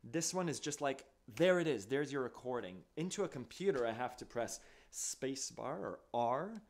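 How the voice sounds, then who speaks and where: A man in his thirties, an accordion teacher, explaining things step by step in a relaxed live-stream lesson from a small home music room. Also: mid-range voice, moderate pace. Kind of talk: casual live-stream demonstration. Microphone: studio mic on boom arm, wearing headphones. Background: accordion and looped music.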